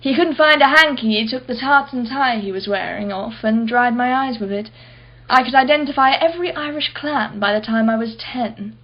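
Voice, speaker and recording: A wealthy society woman in a radio drama, played by an actress, speaks in a fairly high voice with a clipped, imperious manner. She is blunt, brittle and guarded. The recording is somewhat dull and muffled. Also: moderate pace, natural voice. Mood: reminiscing. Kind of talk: radio play acting.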